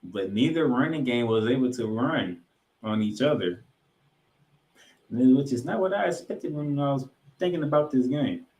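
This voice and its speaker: A man's voice: mid-range voice, animated, moderate pace, darkish tone. A man hosting a sports podcast, giving recap commentary in an emphatic way and repeating points for effect. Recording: roomy, echoing room sound.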